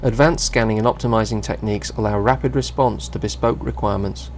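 A man reading a scripted narration for a company video in an even, measured way. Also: on the low side, slight background noise.

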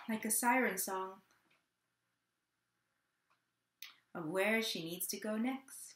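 A woman performing a dramatic monologue as a confused, childlike mother with memory loss, halting and full of wonder. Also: medium pitch, fairly bright tone, lightly acted. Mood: yearning. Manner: slow, halting, wondering delivery with lingering pauses. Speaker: actor in a staged reading. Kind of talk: dramatic third-person monologue, read on book. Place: plain indoor room at home. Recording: roomy home webcam sound.

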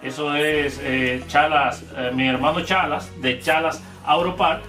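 A man's voice: fairly high pitch, steady tone, a little slow, sharp tone, soft background music. A man hosting a casual radio interview in a small studio, asking questions hesitantly with many fillers. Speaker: radio show host. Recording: echoey room, mic far off.

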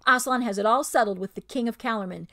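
A woman's voice: a little high, fast, sharp tone. A woman voicing a scheming, bullying male ape who snarls and lords it over the other animals in a storybook reading. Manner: snarling, domineering, sly.

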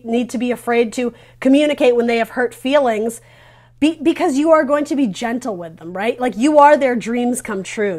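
Woman in her thirties, slightly high voice, conversational tarot reading, informal, reassuring, thinking out loud. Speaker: tarot reader hosting a video reading. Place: small decorated room indoors. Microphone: lavalier clipped to T-shirt.

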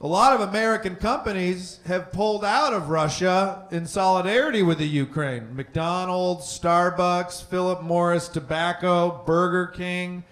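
A man in his thirties doing measured, deadpan stand-up on a small theater stage into a handheld mic. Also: high voice, slight background noise. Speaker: stand-up comedian. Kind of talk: stand-up set, list-based bit. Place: small comedy theater stage, red curtain.

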